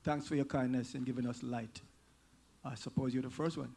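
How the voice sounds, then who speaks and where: A man moderating a live panel in a museum theater, brisk and polite as he organizes questions from the audience. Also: medium pitch, steady tone, gravelly, mellow.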